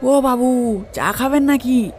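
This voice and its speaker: A man voicing a sly, obliging tea seller in a cartoon ghost story, with a servile, drawn-out, wheedling way of talking. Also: very high-pitched, a little hiss or hum, voice pushed up.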